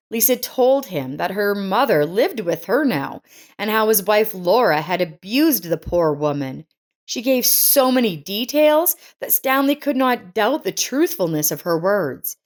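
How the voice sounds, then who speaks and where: A woman's voice: mid-range voice, big pitch swings, unhurried. A woman reading a scripted life story as its narrator.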